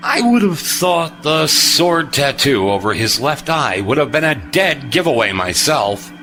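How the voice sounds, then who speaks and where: A man playing a wry, composed spacefarer who speaks gravely and knowingly in a sci-fi audio drama. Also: on the high side, sing-song, measured pace, over music.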